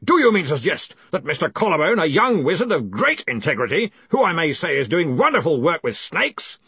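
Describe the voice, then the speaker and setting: A man's voice: sits high, big pitch swings, normal speed, sharp tone, husky, no room sound, voice pushed up, heavily acted. A man voicing a pompous, furious old arch-chancellor of wizards in an audiobook, bellowing and imperious. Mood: indignant.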